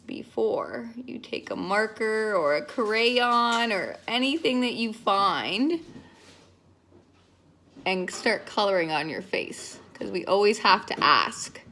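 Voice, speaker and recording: Female preschool-style storyteller, playful, very animated high pitch, slow delivery. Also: a little hiss or hum. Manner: playful, simple explanations and questions to children. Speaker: children's teacher and story reader. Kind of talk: storybook read-aloud for young children.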